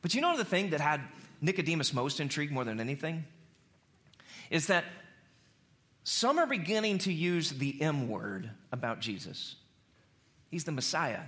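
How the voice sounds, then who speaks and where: Guy preacher, high forceful voice, chatty sermon, acts out bits in slang, repeats stuff for punch. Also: moderate pace, pauses often. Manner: animated storyteller who acts out dialogue in slang; emphatic, repeats phrases for effect. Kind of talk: conversational sermon to a congregation.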